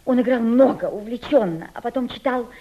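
Актриса в роли взволнованной эмигрантки, высокий голос, глуховатая запись. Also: female voice, a little high, natural voice. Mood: wistful. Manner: emphatic, deliberate, declamatory stage delivery. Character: impassioned Russian émigré woman journalist, well-bred, troubled. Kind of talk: theatrical radio drama acting. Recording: muffled old radio play recording.

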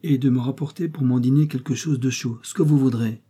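A man's voice: medium pitch, steady tone, fairly quick, mellow, breathy edge, pitched above the performer's own voice, lightly acted. A man reading the part of a reserved, wary young widow who answers curtly and with restraint. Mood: restrained.

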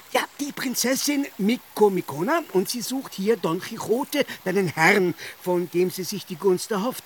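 An actor playing a kindly, persuasive village priest with a scheming streak in a radio drama. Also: male voice, high voice, fairly bright tone, slight background noise, exaggerated voice. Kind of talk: German radio drama acting.

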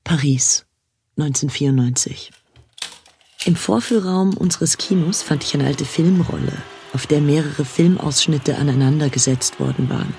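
An actor playing a matter-of-fact, measured male narrator in a scripted radio drama. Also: a little high, gravelly, a little mellow, a little hiss or hum. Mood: intrigued, factual.

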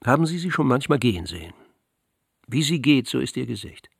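An actor playing a jovial, self-ironic older court physician who paints, talking in blustery, flowery old-fashioned phrases in a radio drama. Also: male voice, mid-range voice, very animated, brisk, creaky, breathy edge, no room sound, studio quality, full character voice. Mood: wry, blunt.

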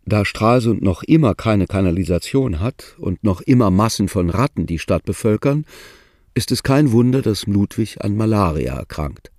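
Male narrator, low mellow voice, dry close sound, matter-of-fact. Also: normal speed, no echo. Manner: steady, matter-of-fact storytelling. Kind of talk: documentary narration.

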